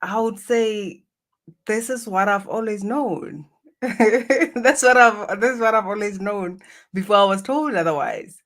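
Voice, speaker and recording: A woman's voice: medium pitch, unhurried, a bit bright. A middle-aged woman from Botswana, a native Setswana speaker with a Botswana accent, reflecting on her life as an interview guest. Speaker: interview guest, spiritual healer. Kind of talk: reflective personal storytelling.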